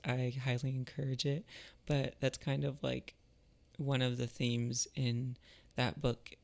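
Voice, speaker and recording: Male creator, vocal fry, middle-pitched, informal and reflective, thinking aloud as he talks. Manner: thoughtful, informal, searching for words. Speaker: musician and artist, video creator. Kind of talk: casual reflective talk about creativity.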